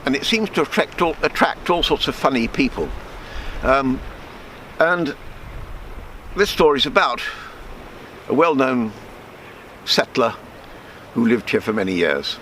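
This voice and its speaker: Guy reading his own story out loud, voice swoops up and down, bit of background noise, trips on the odd word. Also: medium pitch, expressive, a little slow, long pauses. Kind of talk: scripted storytelling narration, reading aloud.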